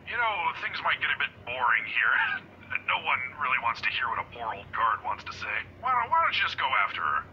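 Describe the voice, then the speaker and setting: A man's voice: medium pitch, dull audio, a little hiss or hum, close to an everyday voice. A man voicing a chatty, kindly, fumbling old security guard in visual novel game dialogue, with a hesitant, forgetful way of talking. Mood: awkward, hesitant. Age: older.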